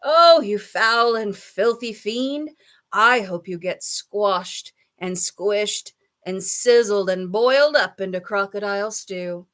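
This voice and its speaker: A woman's voice: medium pitch, slow pace, fairly bright tone. A woman voicing a big, indignant male elephant in a children's storybook, scolding in a blustery, emphatic way. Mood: outraged. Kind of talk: children's storybook character reading.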